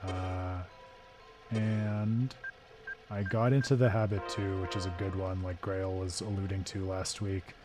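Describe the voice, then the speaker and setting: A hoarse man hosting a live game stream, talking through his thoughts in a thoughtful, digressive way with frequent restarts. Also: deep voice, level tone, measured pace, over music. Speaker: streamer and host.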